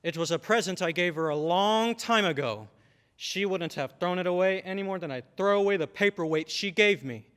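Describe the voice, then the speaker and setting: A man's voice: high-pitched, moderate pace, fairly bright tone, smooth. A man playing a stern, exasperated father in an old-time radio drama, with emphatic delivery. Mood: grim, accusing. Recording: long hall reverb.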